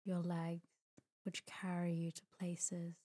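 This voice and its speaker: A woman guides a sleep meditation in a low-pitched, very mellow voice. She is soft and breathy, at times close to a whisper. Her delivery is calm and soothing, with gentle pauses and an even, unhurried melody. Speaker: meditation guide, podcast host. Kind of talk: guided sleep meditation, slow scripted narration.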